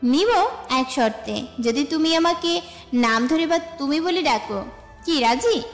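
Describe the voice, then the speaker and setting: Brief: actress as young college woman, high voice, warm-hearted but bossy, reverberant track with music, romantic audio drama. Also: expressive, takes it slowly. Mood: friendly. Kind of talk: romantic audio story dialogue. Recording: echoey reverb with music bed.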